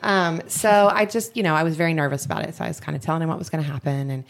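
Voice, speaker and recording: Low, gravelly-voiced woman telling stories. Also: very fast. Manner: chatty, laughs often while telling stories. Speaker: interview guest. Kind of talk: casual storytelling. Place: resort poolside, outdoors.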